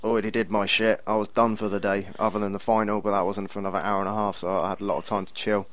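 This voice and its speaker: Young guy, Milton Keynes accent, low voice, dry close sound, swears a lot. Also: little pitch movement, fast talker, a bit bright, no echo. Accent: English, Milton Keynes. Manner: laid-back, sweary storyteller. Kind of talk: casual chat.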